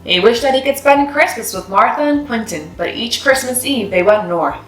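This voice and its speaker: A woman's voice: on the high side, lively intonation, normal speed, slight background noise. A woman narrating a children's story expressively, as a read-aloud host. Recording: roomy echo, music behind.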